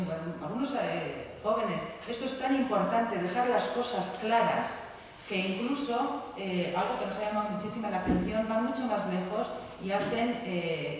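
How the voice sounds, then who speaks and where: Woman panelist, Basque Country Spanish accent, halting academic delivery full of fillers; distant mic in a reverberant lecture hall. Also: mid-range voice, slight background noise. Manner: hesitant, many fillers, reads out quotes. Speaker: panelist presenting research. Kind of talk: academic conference talk. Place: large university lecture hall. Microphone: table mic, far camera. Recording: echoey hall from far off.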